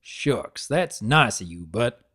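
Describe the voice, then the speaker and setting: Casting: bashful farm stallion, low, slow and halting, read by a woman. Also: animated, fairly bright tone, slightly rough, full character voice. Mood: hesitant. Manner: hesitant, folksy, halting. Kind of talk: dramatic audiobook reading.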